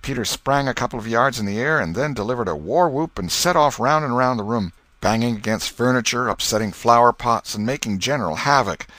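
A man reading an audiobook as expressive storybook narration. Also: mid-range voice, a little fast, hardly any pauses, no echo. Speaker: audiobook reader.

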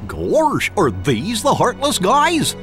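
Friendly dopey dog, drawls, big pitch swings. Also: male voice, wildly swooping pitch, takes it slowly, over loud music, heavily acted. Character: goofy, friendly cartoon dog knight.

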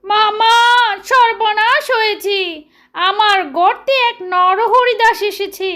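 A woman's voice: extremely high pitch, steady tone, slow pace, bright, forceful tone, pitched above the performer's own voice, exaggerated voice. A woman voicing a timid, cowardly jackal, whining and panicky, in a children's story. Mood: panicked. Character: timid cowardly jackal, anxious tiger's nephew.

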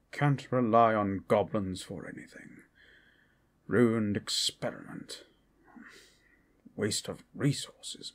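A man playing a grumbling, scheming old wizard who muses theatrically over his orb in an ASMR fantasy roleplay, often slipping into whispers. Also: lowish voice. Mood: weary, grumbling. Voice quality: breathy, husky. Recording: music behind the voice.

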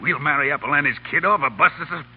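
An actor playing a brash, big-hearted racketeer in a slangy, tough-talking 1930s radio style. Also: male voice, a little high, quick pace, narrow, tinny audio, lightly acted. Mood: brisk, excited. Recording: scratchy, humming 1930s radio broadcast.

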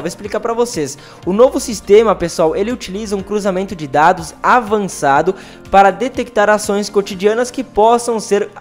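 A Brazilian man with a Brazilian Portuguese accent, hosting a news commentary on pension benefits, talking straight to viewers and leaning on filler words. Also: sits high. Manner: addresses viewers directly, repetitive filler "aí". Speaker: channel host. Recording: faint background music.

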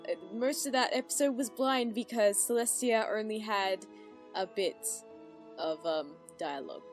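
A woman's voice: mid-range voice, measured pace, faint music behind. A woman giving casual reaction commentary as a video commentator in voice-over. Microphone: voice-over with background music.

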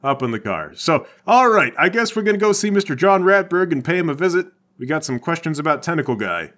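Man playing a blunt, lively cop. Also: a little high, a little fast. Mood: businesslike. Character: blunt, lazy, self-serving male cop. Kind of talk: improvised comedic roleplay.

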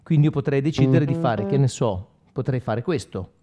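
A man's voice: mid-range voice, moderate pace. A man in his forties explaining effects pedals in an informal, conversational tutorial, often checking that the listener follows. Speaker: guitarist demonstrating effects pedals. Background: electric guitar playing.

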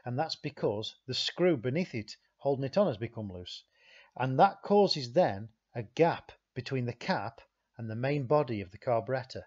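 Man, repair instructor, calm step-by-step voice-over, medium pitch, slightly dull lo-fi sound. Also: husky. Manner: steady, methodical, repeats key points. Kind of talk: explaining a technical animation.

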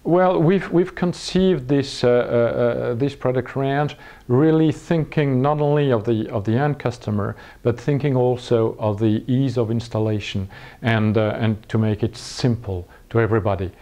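Mellow, halting Frenchman in his fifties. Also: mid-range voice. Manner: halting, searching for words. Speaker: company executive presenting products. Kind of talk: product interview, explaining. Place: small indoor showroom room with curtains.

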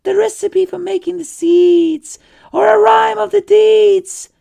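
A performer voicing a mischievous witch with a very high, croaky voice, chanting in sing-song rhymes. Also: fairly even intonation, slow pace, warm tone, heavily acted. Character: cackling rhyming witch, mischievous.

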